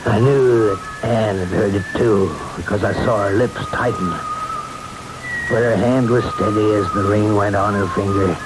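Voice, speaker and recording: A haunted best man, played by a male actor, narrating a radio ghost story in a lowish voice. He is grave and measured, with loud music running underneath. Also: fairly even intonation, natural voice, low-quality recording. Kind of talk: old-time radio drama narration.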